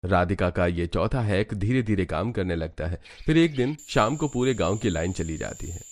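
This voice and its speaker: Deep-voiced man narrating a cartoon story. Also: animated, normal speed.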